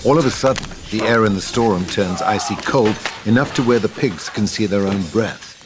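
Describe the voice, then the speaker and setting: A man's voice: fairly low pitch, fairly quick, noisy background. A man reading scripted stage directions as the narrator of a table read.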